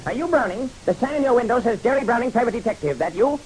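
A man's voice: very high-pitched, animated, fast talker, darkish tone, rough recording. An actor playing a small, nervous, pushy man greedy for an inheritance, clipped and impatient, in an old-time radio detective drama. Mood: impatient, insistent. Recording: hissy old radio recording.

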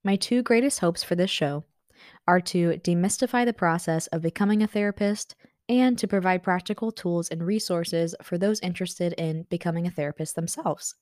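A woman therapist hosting her podcast, reading a scripted intro and then interviewing calmly. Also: lowish voice, no echo, studio quality.

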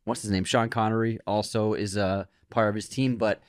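A man's voice: lowish voice, steady tone, moderate pace, no room sound, studio quality. A man co-hosting a film podcast, chatting casually and enthusiastically with some rambling. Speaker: podcast co-host. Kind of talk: casual chat.

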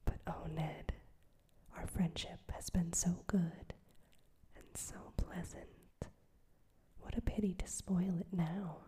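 A woman voicing a proud, gentle young woman who refuses a suitor, in a soft whisper. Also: fairly low pitch. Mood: gently teasing. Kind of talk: whispered audiobook reading.

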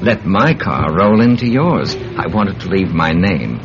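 An actor playing a suave, sinister Soviet spy in a radio drama, with a cultured English accent and a polite, insinuating manner. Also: male voice, deep voice, animated, unhurried, over music. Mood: apologetic, polite. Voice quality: cultured, well-educated voice. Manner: polite, insinuating, quietly menacing. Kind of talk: old-time radio drama acting. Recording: dull, noisy old radio recording.

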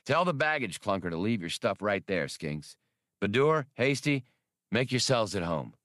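A man's voice: fairly low pitch, sing-song, normal speed, a bit bright, no room sound, clean studio sound. A man voicing a cocky, streetwise male space smuggler with a brusque, casual way of talking. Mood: brisk, confident.

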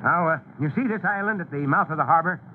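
An actor playing a seasoned, steady fire chief with a measured, businesslike manner, in old-time radio drama style. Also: male voice, a little high, close to an everyday voice. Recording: noisy, tinny old radio broadcast.

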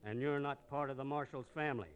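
An actor playing a scheming, cowardly American banker with an oily, evasive manner in an old radio western. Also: male voice, medium pitch, fast, lo-fi sound, close to an everyday voice. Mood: smooth. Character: smooth, scheming, cowardly banker, secret male boss. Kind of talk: 1950s radio western acting.

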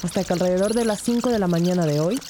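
A woman's voice: fairly low pitch, noisy background, lightly acted. A woman playing a serious, observant police investigator who narrates a crime audio drama in the first person. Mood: grave, narrating. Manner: measured, serious.

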